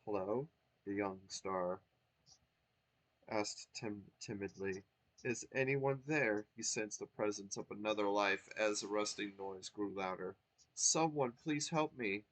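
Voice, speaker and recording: A man's voice: fairly low pitch, measured pace, a bit bright, poor audio. A man voicing a young, timid male star creature who sounds earnest and anxious.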